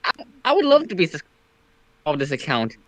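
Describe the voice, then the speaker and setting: A man's voice: on the high side, huge pitch swings, brisk, a bit bright, no room sound. A man making casual comments as a guest in a group chat. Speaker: guest commentator on a story reading.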